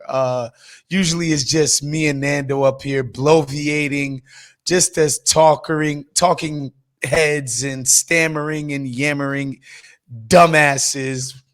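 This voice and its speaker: A man's voice: on the high side, steady tone, slow pace, a bit bright. A man hosting a podcast in a casual, slangy, conversational way. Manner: informal, slangy, some swearing. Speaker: podcast host.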